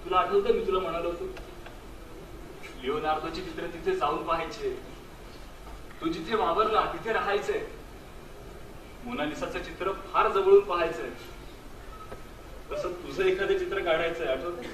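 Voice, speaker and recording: A man's voice: high-pitched, normal speed, long pauses, fairly bright tone. An Indian man acting in a stage drama as a sentimental married man still devoted to a former love, speaking earnestly and wistfully. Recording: echoey stage, distant mic, background noise.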